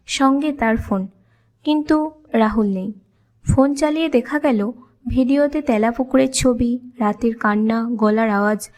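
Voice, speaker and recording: High-voiced woman narrating a ghost story. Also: a little mellow. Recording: background music behind the voice.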